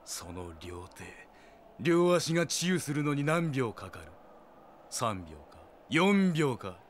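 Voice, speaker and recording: Tough young fighter in an audio drama, cool taunting menace, pitch moves around a lot. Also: male voice, very animated, slow, slight background noise. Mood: cold, menacing. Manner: terse, coolly menacing, taunting. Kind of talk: Japanese audio drama acting.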